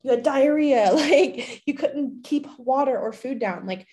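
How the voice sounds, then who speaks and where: A young woman hosting a fitness podcast alone, chatty and full of fillers, her voice slightly hoarse from being sick. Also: sits high, expressive, a little slow, mellow. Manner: chatty, many fillers, laughs often. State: slightly hoarse from a recent illness. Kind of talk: casual solo podcast talk.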